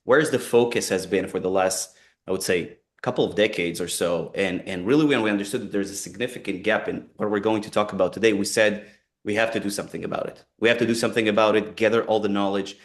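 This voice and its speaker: A man's voice: on the low side, steady tone, fast. A man in his forties with an Israeli accent, talking earnestly in a webinar and often repeating and restarting phrases. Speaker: company co-founder presenting a webinar. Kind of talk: conversational webinar talk.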